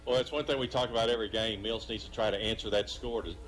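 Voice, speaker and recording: A man's voice: fairly low pitch, fairly even intonation, quick pace, a bit bright, slight background noise, rough recording. A man working as a color commentator, giving conversational analysis during a live football broadcast.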